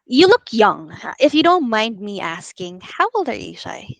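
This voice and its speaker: A woman in her twenties hosting a casual interview, playful and quick to laugh as she asks questions. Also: fairly high pitch, sing-song, vocal fry, dry recording. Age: 20s. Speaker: podcast host.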